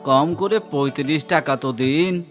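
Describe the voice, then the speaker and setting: A man's voice: a little high, a little slow, faint music behind, lightly acted. A man voicing a humble, caring village father and poor craftsman in a cartoon. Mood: bargaining, yielding. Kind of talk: cartoon voice acting.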